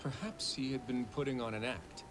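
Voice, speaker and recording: An actor playing a confident, easygoing male prison warden in a video game, talking in a relaxed, wry way. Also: medium pitch, moderate pace, noisy background, close to an everyday voice. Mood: explaining.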